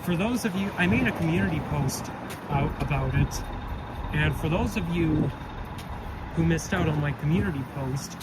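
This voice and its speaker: A man walks along a busy city street and vlogs into his phone. His voice sits a little high and sounds stuffed up and nasal from a cold, and he rambles casually with plenty of fillers. Heavy traffic roars behind him the whole time. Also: normal speed. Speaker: vlogger. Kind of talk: casual walking vlog. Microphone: phone held while walking. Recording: loud traffic noise outdoors.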